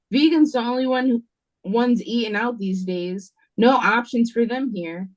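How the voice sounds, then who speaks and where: A woman's voice: medium pitch, natural voice, poor audio. A woman reading the part of a blunt, fed-up and distressed waitress in an audio play, over a video call. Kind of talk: audio play table read.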